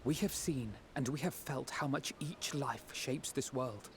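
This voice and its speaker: A man's voice: mid-range voice, unhurried, a little hiss or hum, lightly acted. A man playing a resolute, earnest hero in a game, speaking firmly in formal dramatic dialogue. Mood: determined. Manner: firm, earnest.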